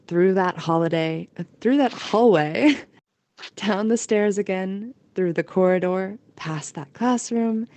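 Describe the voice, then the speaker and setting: A woman's voice: on the low side, a little mellow. A woman narrating a scripted fantasy audio story in a storytelling voice.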